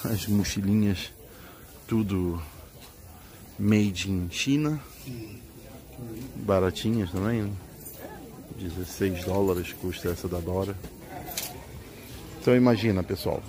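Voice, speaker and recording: Low-voiced Brazilian man in a busy market. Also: slow, pauses often. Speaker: vlogger. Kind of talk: casual walking tour narration. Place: large indoor swap meet. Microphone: handheld phone camera. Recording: busy indoor market crowd behind.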